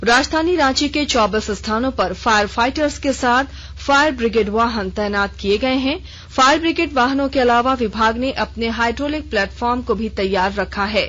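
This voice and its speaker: Woman reading a formal radio bulletin. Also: fairly high pitch. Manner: measured, even formal delivery. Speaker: radio newsreader. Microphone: studio microphone. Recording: dull lo-fi radio broadcast.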